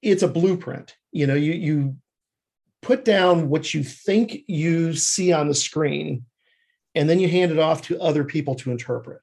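Husky, higher-voiced man chatting as interview guest. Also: measured pace, a little mellow. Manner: chuckles often while talking. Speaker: guest screenwriter and director. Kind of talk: casual podcast interview.

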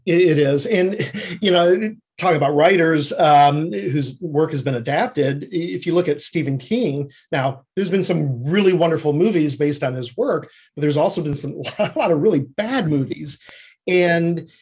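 A man with a somewhat high-set voice that has a husky, unclean edge. He talks as an interview guest, laying out how his craft works in a halting, explanatory manner. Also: darkish tone. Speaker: guest screenwriter.

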